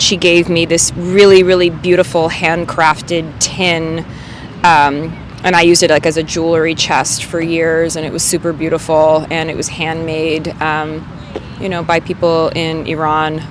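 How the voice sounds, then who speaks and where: A woman's voice: sits low, a little hiss or hum. Reflective woman talking on a street. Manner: thoughtful, reflective storytelling. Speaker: street interviewee. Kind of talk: casual street interview answers.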